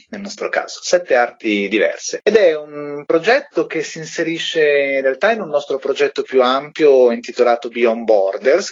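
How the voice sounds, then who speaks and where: A man's voice: lowish voice, slightly rough, highs cut off. A man, a theatre company member, explaining his work articulately as a guest in a radio interview.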